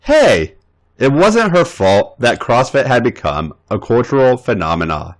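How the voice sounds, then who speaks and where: A man's voice: medium pitch, sing-song, a little slow, noisy background. A man reading erotic fan fiction aloud as a podcast host, playful and theatrical.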